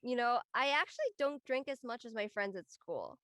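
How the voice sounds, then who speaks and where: Woman playing earnest young college friend in an online drama; slightly high, conversational voice, close and dry. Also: animated, moderate pace, pushed and bright, no room sound. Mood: reflective, subdued.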